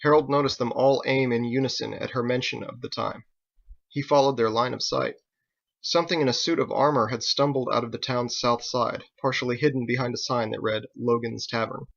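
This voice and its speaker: A man's voice: mid-range voice, fairly even intonation, brisk, gravelly, a bit bright, muffled recording. A man reading his own story as scripted audiobook narration.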